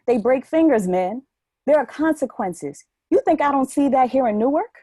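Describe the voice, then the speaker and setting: A woman's voice: high-pitched, lively intonation, moderate pace, warm tone, husky, no room sound, studio quality. A woman playing a bold, teasing, insistent city woman in her thirties in an audio play. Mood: accusing, probing.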